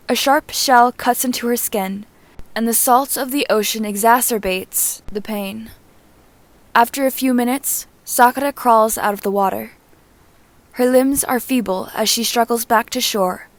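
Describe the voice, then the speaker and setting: A woman with a fairly high voice narrates a piece of fan fiction. She reads very slowly and deliberately, setting out each short phrase in a measured, scripted way. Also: no room sound. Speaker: amateur audiobook narrator.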